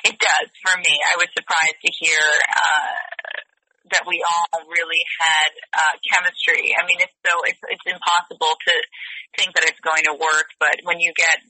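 A woman's voice: low voice, level tone, moderate pace, sharp tone, breathy edge, dry recording, rough recording. A woman voice actress being interviewed, bubbly and gushing, laughing often. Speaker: voice actress guest. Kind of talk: press interview.

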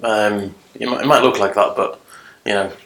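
A man's voice: fairly low pitch, fairly even intonation, moderate pace, a bit bright. A man speaking as a guest on a casual podcast, earnest and plain-spoken with frequent ums.

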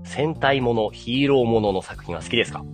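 A man's voice: medium pitch, expressive, brisk, soft background music. A man in his thirties casually chatting and explaining as a podcast host.

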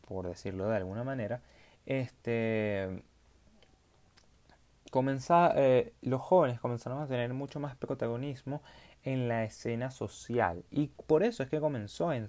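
A man's voice: medium pitch, dark tone. A man hosting a solo podcast, talking casually with many fillers and restarts. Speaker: podcast host.

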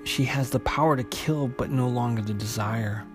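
Man retelling a story over music. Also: mid-range voice. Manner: halting, repeats and restarts phrases. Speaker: host retelling a comic book story. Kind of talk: unscripted story recap, narration.

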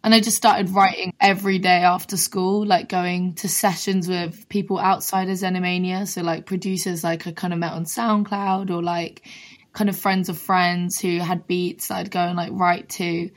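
A young woman with a husky voice, a singer-songwriter from London, chatting casually as a guest and filling her talk with "like". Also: lowish voice, flat delivery, moderate pace. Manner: chatty, peppered with "like". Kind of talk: casual interview chat.

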